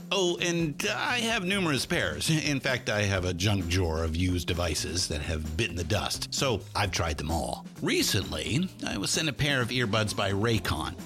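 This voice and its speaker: A man reading a podcast ad in a chatty, conversational way with informal asides. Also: low voice. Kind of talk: conversational ad read. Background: music.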